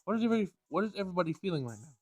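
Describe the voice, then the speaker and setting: A man's voice: sits high, big pitch swings, very fast, no echo. A man reading a visual novel aloud as he plays, sometimes stumbling over words and rereading lines. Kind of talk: Let's Play read-aloud of game text.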